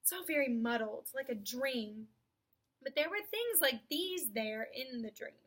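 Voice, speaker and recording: A woman voicing an earnest, slow-witted cart horse from a storybook, musing and halting as he talks. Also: very high-pitched, lively intonation, sharp tone, voice pushed up, full character voice. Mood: puzzled.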